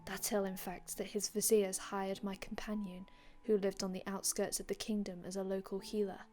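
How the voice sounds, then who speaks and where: A wistful vampire from another age , acted by a woman, recounts old tales in an ASMR roleplay. The delivery is hushed and breathy, with little swing in pitch and long dramatic pauses. The wording is formal and antique, and soft music plays underneath. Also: level tone, natural voice. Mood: wistful, hushed storytelling. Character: melancholy otherworldly vampire from an older time, telling old tales.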